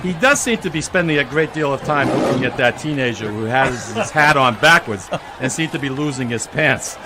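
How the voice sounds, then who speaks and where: A man's voice: medium pitch, lively intonation, moderate pace. A man giving joking, mock-serious live radio commentary as host of an outdoor parade broadcast. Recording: noisy outdoor street broadcast.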